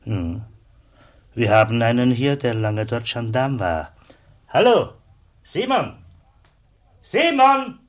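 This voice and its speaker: An actor playing a kindly, plain-spoken border customs officer in a German radio drama, with a husky voice and simple, friendly manner. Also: male voice, mid-range voice, very animated, takes it slowly, pauses often. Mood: generous, then calling out.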